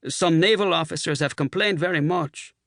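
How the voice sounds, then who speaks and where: A man's voice: on the high side, normal speed, vocal fry, fairly bright tone, no echo, clean studio sound, pitched above the performer's own voice. A man voicing an anxious, polite hotel maitre d' who pleads with his guests. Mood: pleading.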